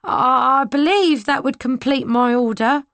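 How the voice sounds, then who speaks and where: Woman reading as a young male soldier, timid, stammering, high and strained for a man, dry close sound, storybook reading. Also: a little slow, fairly bright tone, no echo. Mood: nervous. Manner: hesitant, stammering.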